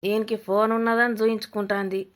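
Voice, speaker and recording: A woman's voice: medium pitch, little pitch movement, quick pace, lightly acted. A woman playing a blunt, nosy older village mother-in-law with a Telangana Telugu accent, scolding and mocking in a comedy cartoon. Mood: suspicious, brusque.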